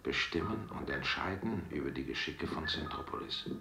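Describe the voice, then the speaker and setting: An actor playing a grandiose, condescending leader of a dystopian technocratic state in a science-fiction radio drama. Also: male voice, very deep voice, animated, normal speed. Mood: grandiose, lecturing. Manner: pompous, condescending, lecturing. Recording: echoey radio drama with music underneath.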